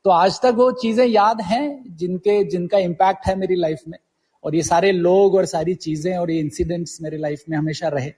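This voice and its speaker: Middle-aged man, higher-pitched, slightly husky, reminiscing. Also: steady tone, moderate pace, darkish tone. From India. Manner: storytelling, mixes English words into Hindi. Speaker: interview guest, poet. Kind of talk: reminiscing in an interview.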